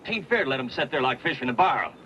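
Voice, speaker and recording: A man's voice: medium pitch, expressive, quick pace, pushed and bright. An actor playing an anxious, earnest young frontiersman with a rustic American dialect in an old time radio western. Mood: anxious, pleading. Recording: dull, noisy old radio recording.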